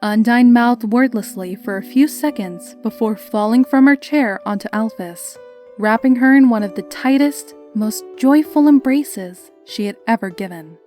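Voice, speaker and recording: High-voiced woman narrating a story. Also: normal speed, a little mellow, soft background music.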